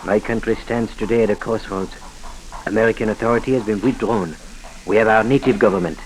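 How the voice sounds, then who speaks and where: An actor playing a cynical, formal Haitian doctor with a French accent in a 1940s radio drama. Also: male voice, lowish voice, a little fast, lightly acted. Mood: earnest, persuasive. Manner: formal, suave, punctuates speech with courteous address. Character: cynical, smooth Haitian doctor educated in Paris. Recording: noisy 1940s radio broadcast recording.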